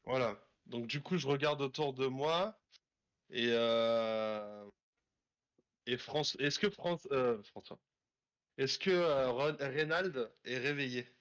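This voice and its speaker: A man takes part in a tabletop role-playing game as a player. His voice is of middling pitch, and he describes his actions casually and a bit tentatively. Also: takes it slowly, a bit bright.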